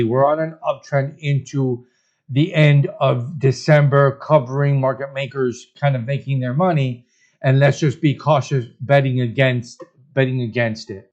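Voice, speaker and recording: A man's voice: mid-range voice, a little mellow. A man with a mid-range voice gives informal market commentary over slightly dull, lo-fi audio. He talks through charts conversationally as an analyst and host, often tossing in short tag questions. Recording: dull, lo-fi voice recording.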